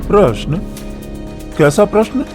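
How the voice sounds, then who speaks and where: A skeptical young village man from a cartoon, voiced by a man, a touch high-pitched, blunt and teasing with his jumpy friend. Background music runs throughout. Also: very animated, slow, exaggerated voice.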